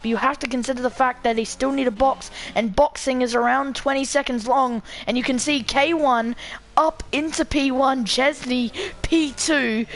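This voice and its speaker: A woman commentating live on a sim race, her voice slightly croaky from being sick. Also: high voice, steady tone, normal speed, low-quality recording.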